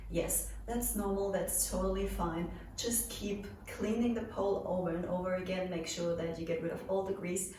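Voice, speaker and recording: A woman's voice: on the low side, fairly even intonation, normal speed, few pauses, dark tone, far from the mic. A woman in her late twenties, a pole dance instructor, chatting casually and cheerfully as she answers viewer questions and jokes with her audience. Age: 20s. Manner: chatty and upbeat, joking with viewers. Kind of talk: casual Q&A vlog. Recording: very echoey room, voice from afar, faint hiss.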